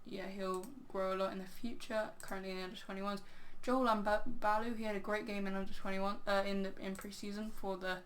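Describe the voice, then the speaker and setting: A woman with a crackly, hoarse voice giving casual, rambling video game commentary, full of "um" and "yeah". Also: mid-range voice, level tone, fairly quick, hardly any pauses. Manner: rambling, filler-heavy, "um, yeah". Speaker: game channel host.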